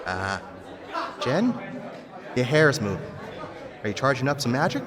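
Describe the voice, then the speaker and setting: An actor playing a nervous, wordy high school boy in a fantasy audio drama. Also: big pitch swings, moderate pace, close to an everyday voice. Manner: anxious, rambling. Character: nervous, wordy modern American teenage boy. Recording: reverberant room, faint crowd.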